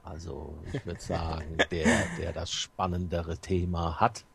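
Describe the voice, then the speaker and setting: A man's voice: sits low, little pitch movement, measured pace, vocal fry, a bit bright, breathy edge, dry recording. A man co-hosting a casual podcast, laid-back and a little wry. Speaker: podcast co-host.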